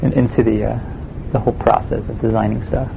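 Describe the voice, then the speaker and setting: A man with a Swiss German accent, a game production designer answering interview questions in a relaxed, hesitant way with many fillers. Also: lowish voice, fast, creaky, background noise. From Switzerland.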